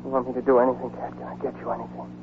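A man's voice: medium pitch, monotone, rapid-fire, a little hiss or hum, close to an everyday voice. An actor playing a grieving, devoted man telling of his lover's death in a 1940s radio drama. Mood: anxious, tender. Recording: tinny, muffled 1940s radio broadcast.

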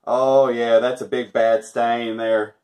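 A man's voice: mid-range voice, little pitch movement, slow pace, darkish tone. A man in his thirties chatting casually while testing a laundry product, joking and chuckling often, recorded on a camera mic in a small room. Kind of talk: casual product review chat. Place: small indoor room, backdrop with stars.

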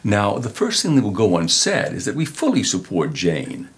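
A self-important old luvvie in a comedy soap. His voice is low and husky, with big theatrical swoops in pitch. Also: wildly swooping pitch, moderate pace, heavily acted. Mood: solemn. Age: 60s or older. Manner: pompous, theatrical. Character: pompous, self-serving old male radio actor. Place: office, indoors.

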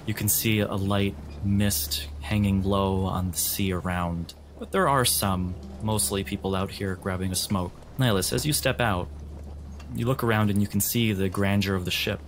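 A man running a tabletop game as game master, narrating scenes descriptively in a measured way. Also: on the low side, steady tone, a little hiss or hum. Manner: measured, addresses players in second person.

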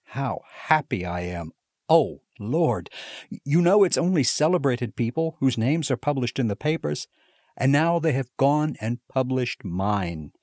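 A man's voice: medium pitch, a little slow, no echo, clean studio sound, pitched above the performer's own voice. A man reading the part of an overjoyed young male clerk, who gushes in eager, tumbling exclamations. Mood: elated. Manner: excited, gushing, tumbling exclamations. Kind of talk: audiobook character reading.

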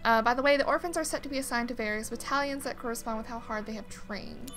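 A woman's voice: fairly high pitch, quick pace, a bit bright. A woman giving casual video game playthrough commentary, sometimes reading on-screen text aloud. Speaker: gaming video host. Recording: game music behind the voice. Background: video game music.

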